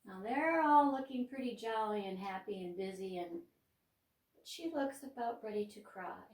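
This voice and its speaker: A woman's voice: medium pitch, recorded from a distance. A middle-aged woman with an Upper Midwest American accent, reading a picture book aloud to children in a church and stopping to explain the pictures. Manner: gentle, slow and expressive, pausing to explain pictures. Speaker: children's librarian hosting storytime. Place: church room. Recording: roomy sound, mic set back.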